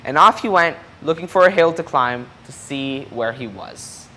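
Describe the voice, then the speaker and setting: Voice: mid-range voice, expressive, moderate pace, sharp tone. A person reading a children's picture book aloud expressively, explaining things and putting questions to young listeners. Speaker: storybook reader.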